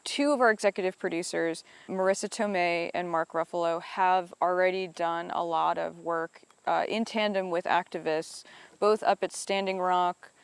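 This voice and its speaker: A woman from upstate New York talks through her editing process in a reflective, hedging way, her voice smooth and clear. The sound is dry and close, with no room around it. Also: lowish voice, fairly even intonation, normal speed, no echo. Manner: thoughtful, hedging with "I think" and "you know". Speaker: documentary director and editor. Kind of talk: reflective interview answers.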